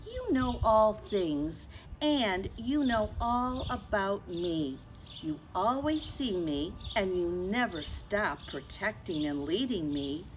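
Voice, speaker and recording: A woman reads and talks to young children in a story-time style. Her pace is very slow and deliberate, her pitch sits in the middle, and her delivery is expressive. There is faint background noise in the recording. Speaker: children's storyteller and religious educator. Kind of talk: children's story time, read-aloud and simple faith talk.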